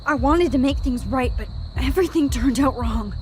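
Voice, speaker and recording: Woman acting haunted teenage girl, American accent, high voice, halting and earnest, game drama, faint background noise. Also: a little mellow, lightly acted. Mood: guilt-ridden, grieving. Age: young adult. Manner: hesitant, halting, emotionally pleading.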